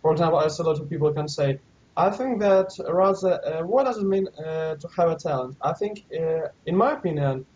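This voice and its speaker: A man hosting a casual conversation, speaking thoughtfully and haltingly as he searches for words. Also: on the high side, darkish tone. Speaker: host. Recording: dull lo-fi recording, a bit off-mic.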